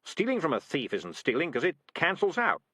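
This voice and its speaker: A scheming street tomcat, put on by a man reading aloud, wheedling and slick with every excuse. The voice sits a touch higher than the narration and swings lively up and down. It is close and dry, with no room around it. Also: fairly quick, no echo, full character voice. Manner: wheedling, smooth-talking. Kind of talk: audiobook character voice.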